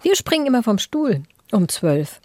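A woman's voice: fairly high pitch, very animated, normal speed, a little mellow, dry recording, clean studio sound. A woman chatting casually as a podcast co-host. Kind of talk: casual chat. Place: podcast studio.

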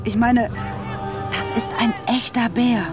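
An actress playing a chatty, brash woman who works in fast food, animated and teasing, in a radio drama. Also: on the high side, over music. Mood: excited gossip. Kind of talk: naturalistic radio drama acting.

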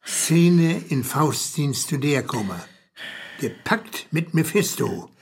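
An actor playing a bossy, impatient stage director with a husky voice. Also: male voice, on the high side, sing-song, full character voice. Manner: bossy, brisk.

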